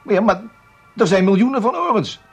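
An actor playing a bewildered, excitable businessman in a radio play, stammering and repeating himself in a husky voice. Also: high voice, fairly quick, exaggerated voice. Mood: astonished. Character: flustered, bewildered businessman recounting strange events. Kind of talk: Dutch radio play acting. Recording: dull radio recording, music behind.

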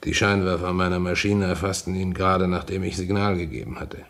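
Deep, gravelly, shaken train driver. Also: male voice, fairly even intonation, a bit bright. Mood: troubled, puzzled. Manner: grave, deliberate storytelling. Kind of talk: radio play acting.

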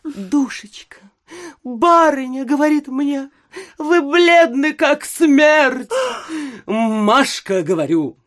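A woman's voice: high-pitched, lively intonation, slow pace. An actress playing an affected, catty provincial society gossip in a theatrical audio drama, exclaiming and drawing out her words. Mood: gushing, agitated. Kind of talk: stage-like audio drama acting of a literary classic.

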